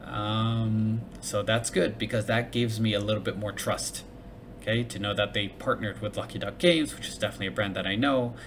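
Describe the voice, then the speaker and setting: A man's voice: lowish voice, steady tone, moderate pace. A man reviewing a crowdfunding page, thinking aloud in a casual way with fillers and restarts; he grew up with both English and Hebrew. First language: English and Hebrew bilingual. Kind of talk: casual solo commentary. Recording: noticeable background noise.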